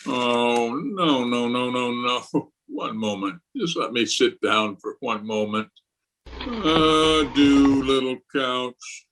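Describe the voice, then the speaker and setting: A man's voice: medium pitch, slow, fairly bright tone, slight background noise, low-quality recording. A man playing an exasperated, pompous middle-aged school principal in an old-time radio comedy style. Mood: mournful, melodramatic. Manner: pompous, indignant, theatrical. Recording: remote video-call recording.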